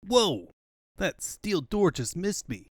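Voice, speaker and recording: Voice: mid-range voice, big pitch swings, takes it slowly, no echo, clean studio sound. A performer voicing a cocky, flippant young hedgehog hero in a cartoon comic dub. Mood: brash, startled. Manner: brash, flippant. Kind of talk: comic fandub acting.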